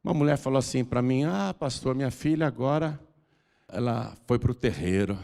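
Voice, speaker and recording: A man, preaching an altar call as pastor, moving between low confiding talk and loud, drawn-out exhortation. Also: vocal fry. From Brazil. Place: large church hall. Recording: long hall reverb.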